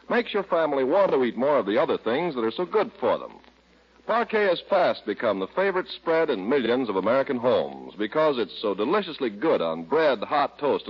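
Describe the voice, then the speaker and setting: A man announcing on 1940s radio, reading sponsor copy and scene narration with a polished, emphatic salesman delivery and husky voice. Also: medium pitch, big pitch swings, normal speed. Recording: dull vintage radio recording.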